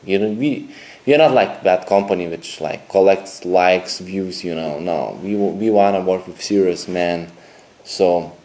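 A young man, a dating coach making a sales pitch to camera indoors, talking in halting, repetitive, phrasing. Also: darkish tone. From Lithuania. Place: indoor room with a TV screen behind.

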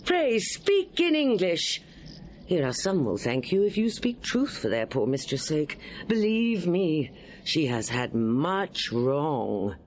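A woman's voice: low-pitched, wildly swooping pitch, slow pace, dull audio, a little hiss or hum, heavily acted. A woman playing a proud, wary, wronged queen in a Shakespearean audio drama, speaking formally and emphatically. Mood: proud, defiant. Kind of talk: Shakespearean radio drama acting.

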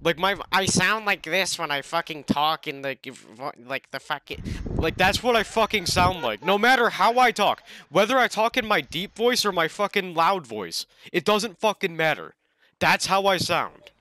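A man's voice: sits high, expressive, normal speed, pushed and bright, no echo. A young man in casual gaming voice chat, irritated and foul-mouthed. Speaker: video maker gaming with friends.

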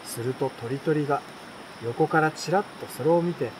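A young adult man reading a bedtime story aloud in a relaxed, unhurried way, with pauses between short phrases. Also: on the high side, noisy background. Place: outdoors at night beside a campfire. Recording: campfire, insects and stream behind voice.

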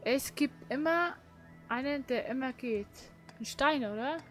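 Female co-commentator, fairly high voice, casual gameplay chat, faint music behind. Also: a little slow, fairly bright tone. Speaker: co-commentator on a game stream.

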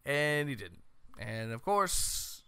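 An American man in his thirties giving loud, emphatic, opinionated sports commentary as a show host, close on a studio mic. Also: mid-range voice, sing-song, takes it slowly, smooth, no echo. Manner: loud, emphatic, exasperated ranting. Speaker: host of a college football show. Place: small room at home. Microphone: close studio mic on a boom arm.

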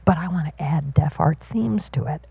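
Woman, sign language interpreter, very dark mellow tone, low voice, halting with restarts, dry dull recording. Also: gravelly, husky, no echo. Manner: halting, with frequent fillers and restarts while following the signer. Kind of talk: live spoken interpretation of a panel talk.

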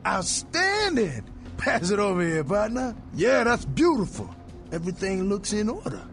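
A man's voice: high-pitched, big pitch swings, moderate pace, vocal fry, fairly bright tone, soft background music, heavily acted. A man playing a jovial, slangy street arms dealer in a video game, boisterous and backslapping. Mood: delighted. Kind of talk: scripted video game dialogue.

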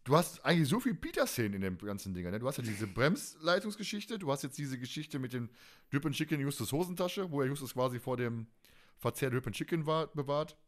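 A man's voice: medium pitch, very animated, brisk. A man hosting a podcast in casual, colloquial, jokey chat. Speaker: podcast host.

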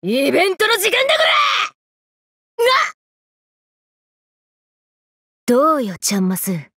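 A woman's voice: sits high, wildly swooping pitch, moderate pace, a bit bright, no room sound, studio quality, full character voice. A woman voicing a brash, playful, hyper young woman from an anime game, with cocky, slangy, teasing delivery. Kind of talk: Japanese anime game character voice lines.

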